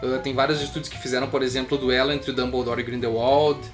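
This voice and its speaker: Casting: fast-talking woman, casual review co-host, light guitar music underneath. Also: very deep voice, flat delivery. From Brazil. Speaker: co-host of a film review show. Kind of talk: casual conversational film review.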